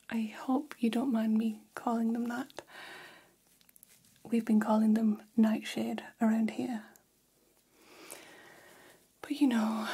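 A woman's voice: a little high, full character voice. Whispering, gossipy vampire hairdresser played by a woman. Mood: conspiratorial, musing. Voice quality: breathy, whispery. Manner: slow, hushed, confiding. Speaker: ASMR performer. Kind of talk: ASMR roleplay, soft whispered chat. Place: small decorated room, indoors. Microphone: close ASMR microphone.

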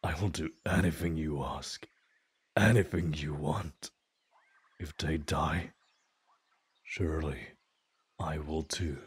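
A man playing a desperate, grieving father who pleads humbly and haltingly in a fantasy audio drama. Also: extremely low pitch, steady tone, breathy edge, exaggerated voice. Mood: desperate, earnest. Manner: slow, halting, earnest pleading.

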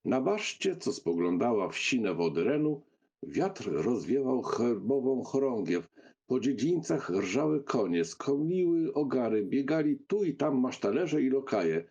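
Male audiobook narrator, low gravelly voice, lively melodic delivery, literary storytelling. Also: a little slow, highs cut off.

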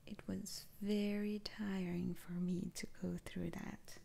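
Whispering, very slow witch doctor played by a woman. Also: medium pitch, little pitch movement, vocal fry, exaggerated voice. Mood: hushed, confiding. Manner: slow, hushed, halting delivery with sighs. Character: eccentric, soothing witch doctor woman removing curses. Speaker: ASMR roleplayer. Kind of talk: whispered ASMR roleplay.

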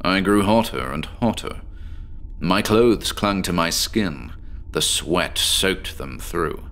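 A deep-voiced, sleepless, eccentric professor. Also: male voice, animated, a bit bright, exaggerated voice. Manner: measured, deliberate storytelling with dramatic pauses. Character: eccentric obsessive male professor, sleepless, confessional. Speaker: patient recounting his experiment to a doctor. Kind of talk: horror audio drama monologue.

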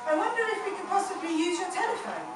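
A woman's voice: very high-pitched, expressive, fast, very noisy. A woman playing a fussy stranded traveller, reading theatrically in an amateur radio play. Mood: anxious. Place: open indoor studio tour space, group around stand mics. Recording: echoey room, distant camera microphone.

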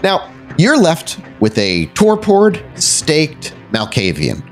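A man's voice: on the high side, wildly swooping pitch, slow. A man narrating a tabletop horror game as storyteller, in a casual, conversational style. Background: faint music.

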